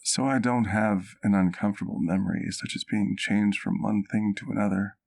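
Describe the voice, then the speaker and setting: A man's voice: lowish voice, fairly even intonation, gravelly, a little mellow. A man playing a courtly, doting vampire doctor in an ASMR roleplay, speaking intimately to the listener in formal, precise words. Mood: gentle, reflective. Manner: formal, precise, measured wording.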